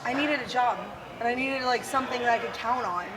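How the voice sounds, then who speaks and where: A woman from South Dakota with a gravelly, raspy voice, chatting casually and laughing now and then as an interview guest. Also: a little high, a little fast, over a noisy crowd, very noisy. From South Dakota, USA. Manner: chatty and self-deprecating, laughs often. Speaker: musician guest. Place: outdoors at a windy motorcycle expo.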